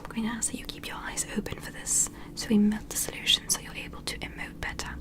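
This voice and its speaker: Woman as a softly whispering alien medical examiner. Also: medium pitch, completely flat delivery, close to an everyday voice. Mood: soothing, attentive. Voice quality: breathy unvoiced whisper. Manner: slow, soothing, reassuring, procedural. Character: gentle, attentive female alien medical examiner named Luna. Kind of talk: whispered ASMR medical roleplay.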